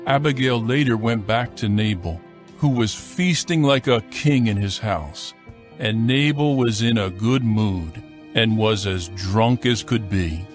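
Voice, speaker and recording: A man narrating a dramatized scripture reading in a measured, formal, scripted style. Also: fairly low pitch, soft background music.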